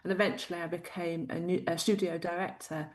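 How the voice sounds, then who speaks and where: Reflective Yorkshire woman with a lowish voice. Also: steady tone, a little fast. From Yorkshire, England. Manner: reflective, laughs often. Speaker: guest. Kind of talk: interview storytelling.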